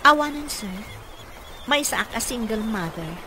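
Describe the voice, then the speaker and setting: A woman's voice: a little high, expressive, measured pace. A woman playing a polite, inquisitive young single mother and nurse in a radio drama. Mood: polite, earnest. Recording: clearly noisy background.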